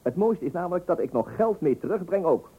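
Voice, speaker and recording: An old, tinny radio recording of a stingy, self-important husband. His husky voice swings widely as he blusters and stumbles through his comic lines. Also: high voice, lively intonation, a little fast. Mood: smugly confident. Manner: pompous, blustering, stammering when flustered. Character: penny-pinching, self-important husband and father, easily tempted. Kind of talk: comic radio play acting.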